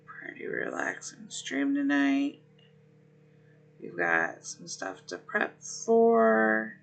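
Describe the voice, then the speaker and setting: A man hosting a relaxed livestream, greeting viewers one by one while his voice is going hoarse and rough. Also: medium pitch, faint music behind. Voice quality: rough, gravelly. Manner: unhurried, friendly greetings between pauses. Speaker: livestream host. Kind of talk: relaxed gaming stream chat, greeting viewers.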